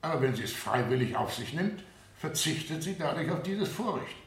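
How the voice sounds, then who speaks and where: Stern judge in echoey courtroom. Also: male voice, a little high, lively intonation, normal speed. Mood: formal, authoritative. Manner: formal, commanding, exact. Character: stern, authoritative male presiding judge. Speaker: actor in a radio play. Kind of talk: 1970 German courtroom radio drama acting. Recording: echoey room, 1970 radio production.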